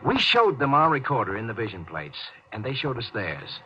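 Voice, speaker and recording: An actor playing an earnest, deferential spaceship communications officer in 1950s radio drama, explaining things respectfully and hesitantly. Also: male voice, medium pitch, wildly swooping pitch, moderate pace, a little hiss or hum. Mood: explanatory, respectful. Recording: dull, band-limited 1950s radio recording.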